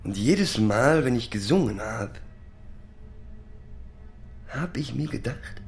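An actor playing a wistful father reminiscing in a theatrical radio monologue, halting and repetitive, with sudden exclamations. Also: medium pitch, animated, gravelly. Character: wistful father, a reluctant would-be singer reminiscing.

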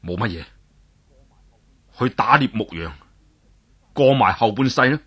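A man voicing a weary, tough warrior who speaks low and plainly with a sigh in his voice. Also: expressive, measured pace, a bit bright, breathy edge. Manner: low, sighing, plain-spoken. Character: weary tough warrior man, gruff but soft-hearted.

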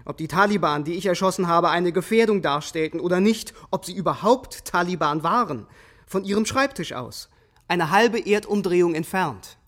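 An actor playing a defiant, unrepentant soldier on trial in a radio drama, speaking in clipped, contemptuous phrases. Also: male voice, high-pitched, normal speed, a bit bright. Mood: defiant, bitter.

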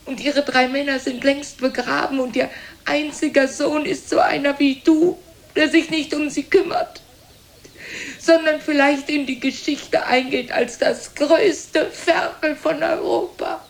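High, creaky, plaintive aging mother. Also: steady tone, a little slow. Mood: indignant, anguished. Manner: plaintive, dramatic, repetitive lamenting with swings in loudness. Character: aging, self-pitying, reproachful mother and travelling theatre woman. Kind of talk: theatrical German radio drama acting.

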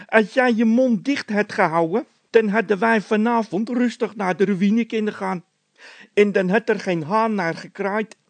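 A hot-headed, scornful workman from a comic storybook, acted out by a man. The voice sits very high and pushes out hard in indignant, scolding bursts. It sounds close and dry. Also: measured pace, gravelly, no echo. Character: hot-tempered, mocking workman with a scolding streak. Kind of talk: character voice in a read-aloud comic story.

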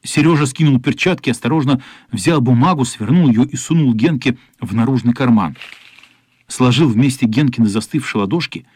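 A man's voice: mid-range voice, normal speed, darkish tone, slightly rough, dry recording. A man reading literary narration as the storyteller of a children's radio play.

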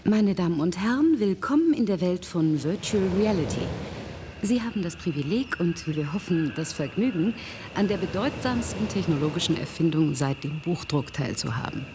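A woman acting an animated, ambitious scientist over noisy ambience. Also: lowish voice, normal speed, close to an everyday voice. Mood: grand, welcoming. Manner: formal, theatrical, hushed and coaxing at times. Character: ambitious female computer scientist presenting her virtual reality invention. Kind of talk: German radio drama acting.

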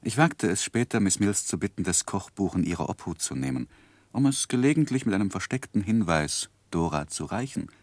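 An earnest young man in a vintage radio drama, his voice low and very expressive. He speaks tenderly, in careful literary phrasing. The recording is close and dry. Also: fairly quick, no room sound. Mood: reflective narrating. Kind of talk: 1960s German radio drama narration and acting.

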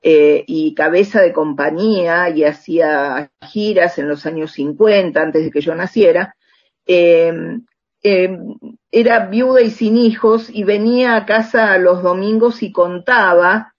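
A woman's voice: on the low side, measured pace, highs cut off. An older woman with an Argentine accent reminiscing casually as an interview guest, searching hesitantly for her words. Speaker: interview guest, radio drama writer.

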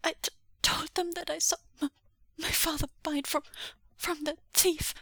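Woman voicing a high, tearful, frightened teenage boy. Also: flat delivery, fairly bright tone, dry recording. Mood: guilty, sobbing. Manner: halting, stammering, breathy whispering. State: crying.

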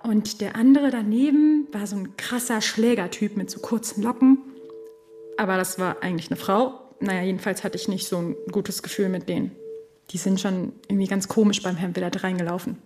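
An actress playing a cheeky, chatty young office assistant in a radio drama. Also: female voice, medium pitch, a little fast, close to an everyday voice. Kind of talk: radio drama, narrating and acting. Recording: radio drama with faint music.

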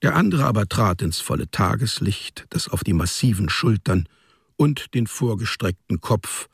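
A man narrating a literary radio drama as a storyteller, measured and deliberate. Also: lowish voice, sing-song, creaky, breathy edge, no room sound, studio quality.

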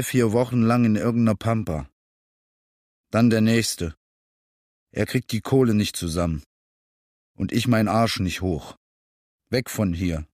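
A man's voice: fairly low pitch, a little mellow, no echo, studio quality. An actor playing a weary, bitter working-class man who narrates his dead-end life in terse, slangy fragments in a radio drama. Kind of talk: radio drama interior monologue.

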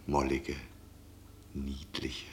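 An actor playing a lecherous, flattering tavern guest in a radio drama, coaxing and teasing in a gravelly voice. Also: male voice, a bass voice, drawn-out words, heavily acted. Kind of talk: German radio drama acting.

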